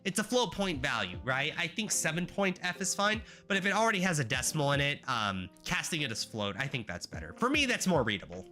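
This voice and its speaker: A man's voice: on the high side, very animated, brisk, hardly any pauses, bright, forceful tone, faint music behind. A man live-streaming while he codes, chatting casually and rambling with wry asides to his chat, his voice stuffy and nasal from congestion. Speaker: livestreamer learning graphics programming.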